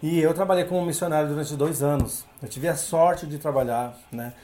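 A middle-aged man from Brazil, a guest on a podcast, telling his story in a relaxed way with many filler tags. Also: fairly high pitch, fairly quick. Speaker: guest, English teacher. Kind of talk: casual podcast interview.

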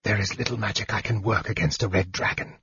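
A man acts out a frail male mage from a fantasy audiobook. He whispers softly and coolly, sly and fearless, in a slightly dull recording. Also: lowish voice, steady tone, fast talker, breathy edge, no echo. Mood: hushed, cool. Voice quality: soft whisper.

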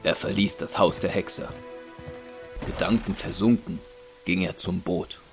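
A man narrating a dark myth in an audio drama, measured and sombre, with a creaky voice. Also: low voice, fairly even intonation, over music. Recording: rain, thunder and effects behind voice.

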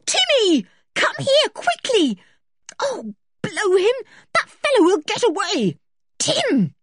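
A woman's voice: sits high, sing-song, normal speed, no room sound, studio quality, pitched above the performer's own voice. A woman voicing a hot-tempered, imperious tomboy girl in a children's storybook. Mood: frantic, furious. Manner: imperious, shouting and scolding.

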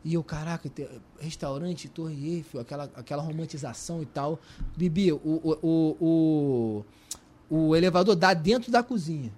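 A Brazilian man with a Brazilian Portuguese accent, a guest telling his story in a lively, colloquial way, full of restarts and repetitions. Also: a little high, normal speed. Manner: animated, colloquial, repeats and restarts. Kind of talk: casual storytelling.

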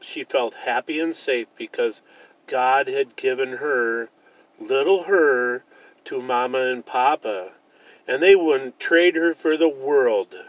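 A man's voice: mid-range voice, slow, dry recording, poor audio. A man narrating a children's storybook as a storyteller.

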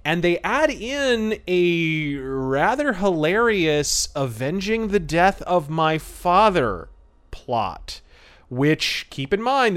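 Wry man with a higher voice, reviewing. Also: fairly bright tone, smooth. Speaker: podcast host. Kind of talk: film review commentary.

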